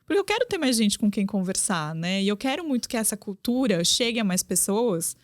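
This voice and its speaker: A woman talks as a guest in a casual interview. Her voice sits at a medium pitch and sounds dry and close to the mic. She speaks chattily and often adds little check-in questions. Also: dry recording. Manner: chatty, with frequent tag questions. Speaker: guest, drama YouTuber.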